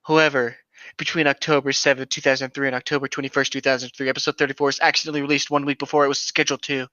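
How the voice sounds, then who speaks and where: A young man with a bright, sharp voice talks casually as a podcast co-host. He is sardonic and quick to giggle. The recording is dry and a little lo-fi. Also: medium pitch, little pitch movement, no echo. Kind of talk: casual joking chat with bits of reading aloud.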